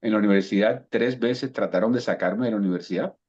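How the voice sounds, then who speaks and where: A man in his fifties from Cuba, his accent softened by years in Colombia, speaking as a guest coach over a video call. He tells his story thoughtfully and often repeats words while he finds them. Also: fairly low pitch, fast talker, slightly rough, dull audio. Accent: Cuban Spanish softened by years in Colombia. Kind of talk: relaxed storytelling interview answer.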